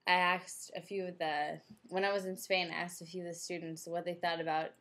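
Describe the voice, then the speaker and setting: A young American woman talks through her answers in a relaxed, rambling way, sprinkling in plenty of "like" and "um". Her voice sits a little low and barely rises or falls. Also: brisk. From United States. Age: young adult. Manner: chatty, with frequent "like" and "um" fillers. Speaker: interviewee, former exchange student. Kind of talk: casual interview answers.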